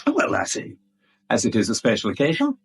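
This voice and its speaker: Ingratiating English visitor, husky and animated. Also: male voice, mid-range voice, quick pace, heavily acted. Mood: jovial, insinuating. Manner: ingratiating, florid. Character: smooth-talking, insinuating, over-polite male uninvited visitor. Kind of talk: 1970s British radio drama acting.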